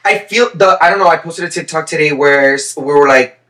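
A young man in his twenties co-hosting a podcast, talking casually, crude and excitable, swearing freely. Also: fairly high pitch, fairly quick, reverberant, far from the mic. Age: 20s. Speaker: podcast co-host. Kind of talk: casual chat.

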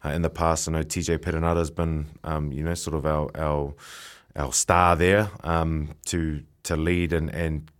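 A young New man, a professional rugby player, chatting as a radio guest in a halting way and repeating his words. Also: very deep voice, level tone, clear, resonant tone. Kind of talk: casual radio chat.

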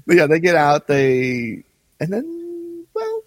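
Animated male podcast co-host. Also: a little high, slow, no room sound, big-room sound. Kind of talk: casual discussion of a radio drama.

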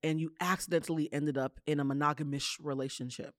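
A woman hosting a podcast in casual chat, animated and quick to laugh, with a big voice. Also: sits low, no echo, clean studio sound. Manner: animated, laughs often, talks fast. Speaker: podcast host.

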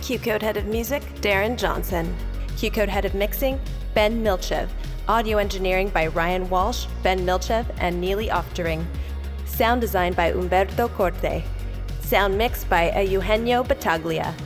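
A woman's voice: mid-range voice, normal speed. Woman reading credits over music. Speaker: credits announcer. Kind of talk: scripted credits read.

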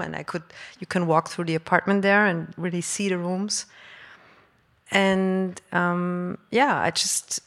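A woman's voice: fairly low pitch, fairly bright tone. A woman from Austria, a film director, speaking at a live panel and restarting her sentences often. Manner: halting, with frequent restarts. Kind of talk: panel conversation. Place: live stage event before an audience.

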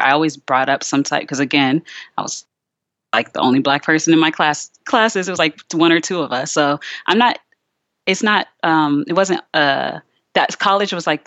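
A young woman with a low voice talks openly as a guest on an interview podcast, with lots of "like" and restarts. Her voice is dry and close, and the sound is a little dull and lo-fi. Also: fairly bright tone, no room sound. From Richmond, Virginia, USA. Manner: chatty and candid, heavy use of "like" and restarts. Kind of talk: casual interview conversation.

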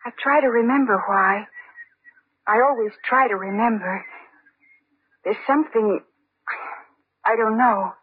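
A woman's voice: on the high side, a little slow, long pauses, husky, no echo. An actress playing a haunted, wistful, unhinged lonely woman in old-time radio drama style. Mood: wistful, distant. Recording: noisy, narrow-band old radio recording.

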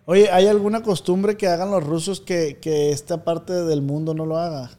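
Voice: medium pitch, steady tone, normal speed, dark tone. Host interviewer, playful and teasing, mid-range voice. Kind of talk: casual interview.

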